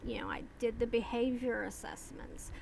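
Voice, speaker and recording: A woman vlogger talking casually and slowly, rambling with pauses and restarts. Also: on the high side, fairly even intonation, vocal fry, darkish tone, slight background noise, low-quality recording. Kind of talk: casual talk to camera.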